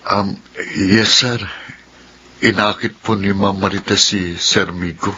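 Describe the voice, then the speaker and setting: A man's voice: sits low, fairly even intonation, takes it slowly, pushed and bright, exaggerated voice. An actor playing a meek, honest young male subordinate, timid and deferential, in a radio drama. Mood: nervous, resolute. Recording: dull radio audio with faint noise.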